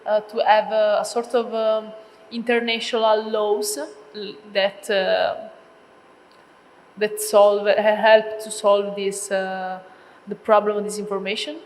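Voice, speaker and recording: A woman's voice: mid-range voice, little pitch movement, takes it slowly. A woman moderating a panel, asking her questions hesitantly with many "uh" and "um" fillers. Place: large ballroom. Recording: long hall reverb with faint noise.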